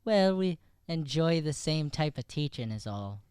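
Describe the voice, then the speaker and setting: A man's voice: fairly high pitch, big pitch swings, unhurried, a little mellow, clean tone, dry recording, studio quality. A man playing a vain, eager lad with an Irish accent in an improvised radio-style comedy. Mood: earnest. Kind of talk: improvised old-time radio comedy acting.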